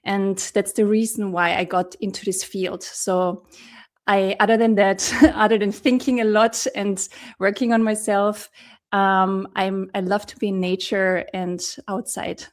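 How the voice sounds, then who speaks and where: A woman's voice: mid-range voice, flat delivery, a little slow. Austrian woman coach, hesitant and thoughtful. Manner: thoughtful, hesitant, many ums, laughs often. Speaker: therapeutic coach, guest. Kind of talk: casual interview talk.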